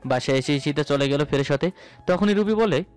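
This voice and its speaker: A man with a fairly high voice narrates a romantic story in the first person. It is a scripted read, with music playing behind him. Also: lively intonation, brisk, darkish tone, clear, resonant tone. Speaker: narrator of romantic audio stories. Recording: voice over background music.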